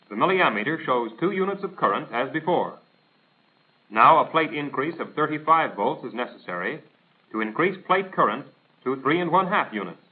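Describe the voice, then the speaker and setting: An American man reading a scripted instructional narration in a formal, precise, didactic manner, in the style of a 1940s military training film. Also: medium pitch. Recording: tinny band-limited 1940s film soundtrack.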